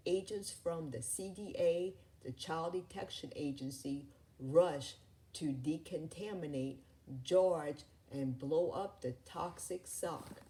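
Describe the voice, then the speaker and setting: A woman reading a children's story aloud in a deliberate, sometimes halting way. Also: sits low, warm tone. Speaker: storybook reader.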